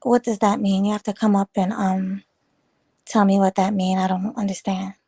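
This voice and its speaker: A woman chats loosely on a morning livestream, greeting viewers and riffing on their comments. Her voice is a touch raspy from the early hour, and it sits close and dry with no room around it. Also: medium pitch, little pitch movement, normal speed, warm tone, no room sound. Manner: chatty, rambling, teasing viewers. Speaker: livestream host. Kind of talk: casual live chat reading comments.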